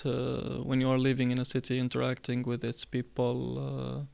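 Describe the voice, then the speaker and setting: A man in his twenties from Syria, answering interview questions in a casual, hesitant way with many pauses and fillers. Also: medium pitch, monotone, vocal fry, a little mellow, clean tone. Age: 20s. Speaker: podcast guest.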